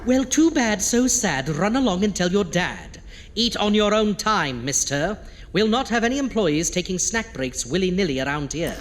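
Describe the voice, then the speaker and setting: A man's voice: high-pitched, sing-song, normal speed. A man playing a gleefully malicious, pompous undead horror host with a theatrical, arch delivery in a campy audio drama. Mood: haughty, scolding. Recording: long reverb, faint noise.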